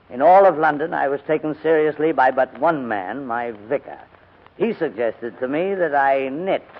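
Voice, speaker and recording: Pompous cranky old man, gravelly voice, old radio drama, dull muffled recording. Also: medium pitch. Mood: ashamed, rueful. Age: older. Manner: pompous, wordy, indignant, hesitating. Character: proud, pompous, cantankerous elderly English gentleman. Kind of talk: 1940s radio drama acting.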